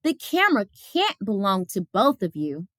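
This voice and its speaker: A woman voicing a calm, fair-minded female schoolteacher in a storybook, speaking in a measured way. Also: medium pitch, no room sound, clean studio sound. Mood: calm, firm.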